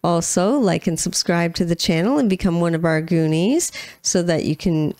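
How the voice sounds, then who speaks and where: A woman's voice: lowish voice, normal speed, darkish tone, no room sound, studio quality. A woman, chatting casually as co-host of a music reaction channel. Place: small room at home, indoors. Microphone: close boom-arm microphone.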